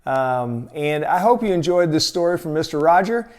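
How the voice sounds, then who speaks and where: An American man telling a personal story in a casual, folksy, expressive and conversational way as a storytelling host. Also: a little high, moderate pace.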